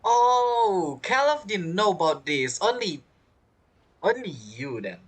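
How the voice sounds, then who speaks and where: Playful male streamer with animated pitch. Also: takes it slowly, husky. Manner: playful, giggly, reactive. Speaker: game streamer. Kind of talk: casual live gameplay commentary. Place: indoors at a streaming setup.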